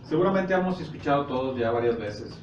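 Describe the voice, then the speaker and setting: A man with a Mexican accent preaching informally and explaining scripture in a conversational, halting way with rhetorical check questions. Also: medium pitch, lively intonation. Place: echoey indoor congregation room. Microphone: distant microphone. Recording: echoey, noisy, muffled room recording from far off.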